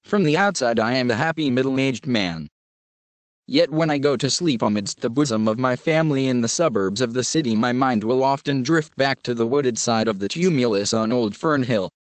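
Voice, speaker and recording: A man narrating a scripted horror story in a measured, even storyteller manner. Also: mid-range voice, dry recording.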